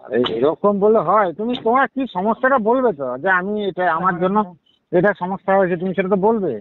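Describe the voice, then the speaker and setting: Over a narrow telephone line comes a man. His voice is high for a man, and he talks quickly and informally, questioning and protesting. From West Bengal, India. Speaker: prank call victim. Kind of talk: casual phone conversation. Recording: tinny narrow phone line.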